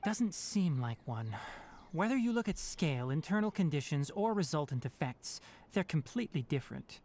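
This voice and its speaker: A woman playing a brisk, stern but caring young male forest watcher in a video game, precise and no-nonsense. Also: low voice, sing-song, warm tone, exaggerated voice. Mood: resolute.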